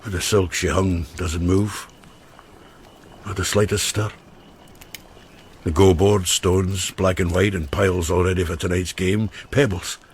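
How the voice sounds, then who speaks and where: A man playing an earthy, haunted widower in a radio drama, an expressive teller who halts and repeats himself. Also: sits low, unhurried, pauses often, a little hiss or hum. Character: haunted, earthy widower who cooks and once went mad.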